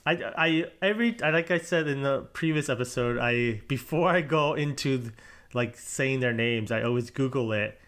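A man's voice: medium pitch, normal speed, a bit bright. A man co-hosting a casual podcast, chatty and giggly, asking questions and reading a plot summary aloud. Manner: giggly, reads synopsis aloud. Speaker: podcast co-host. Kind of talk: casual chat.

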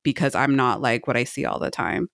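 A middle-aged woman co-hosting a podcast in casual conversation, explaining her thoughts earnestly in a rambling, halting way. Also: rumbling low, vocal fry, fairly bright tone, no room sound, studio quality. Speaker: podcast co-host. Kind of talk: casual chat, reviewing a book.

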